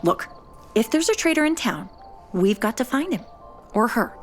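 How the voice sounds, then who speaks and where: A woman's voice: fairly high pitch, sing-song, normal speed, faint music behind. An actress playing a determined, suspicious mother turned amateur detective, earnest and insistent, in an audio adventure drama.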